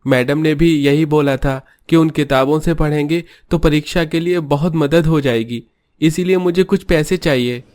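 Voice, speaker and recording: A man's voice: on the high side, moderate pace, a little mellow, no room sound, clean studio sound, natural voice. A man voicing a polite schoolboy younger brother who asks his sister for money in a cartoon story. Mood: pleading.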